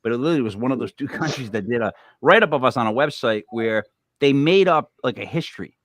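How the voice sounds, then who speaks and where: A man's voice: medium pitch, quick pace, no room sound, clean studio sound. A man hosting a podcast, chatting casually and telling rambling stories with frequent laughs. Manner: laughing, rambling, animated storyteller. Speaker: podcast host. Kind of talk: casual chat.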